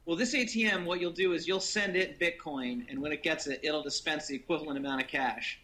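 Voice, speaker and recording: Bright-voiced man explaining in a workshop. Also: fairly high pitch, brisk. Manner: easygoing, plain explaining. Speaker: lab founder showing his project. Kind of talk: casual show-and-tell over a video call. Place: cluttered workshop lab, indoors.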